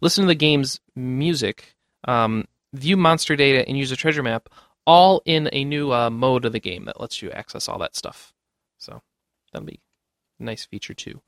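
A man's voice: mid-range voice, expressive, normal speed, a bit bright, no room sound, studio quality. A man hosting a casual gaming news podcast, chatting informally and jokingly. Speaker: podcast host.

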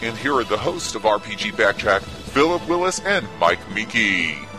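Brief: deep male announcer, slow and solemn, loud music bed under him. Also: sing-song. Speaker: show announcer. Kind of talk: dramatic scripted intro narration.

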